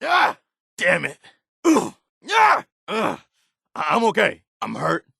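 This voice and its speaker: A man's voice: sits high, huge pitch swings, slow, creaky, bright, forceful tone, breathy edge, no room sound, studio quality, full character voice. A man voicing an earnest, dutiful militia soldier, a loyal and principled game companion who speaks plainly and sincerely. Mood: urgent, strained combat. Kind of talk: video game companion voice lines.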